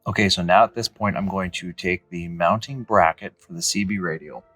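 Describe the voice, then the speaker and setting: Low-voiced man giving a DIY walkthrough. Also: fairly quick, fairly bright tone, no room sound, faint music behind. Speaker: host of a DIY Jeep how-to channel. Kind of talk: casual step-by-step tutorial narration. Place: indoor garage with high ceiling, in and around a car.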